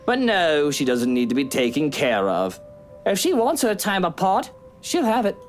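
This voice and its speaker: A woman's voice: low voice, wildly swooping pitch, normal speed, pitched below the performer's own voice. Woman voicing a bitter, swooping, sardonic drunken husband. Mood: cold, dismissive. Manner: sardonic, theatrical delivery. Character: bitter drunken husband, cold menacing schemer, theatrical. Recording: music behind the voice.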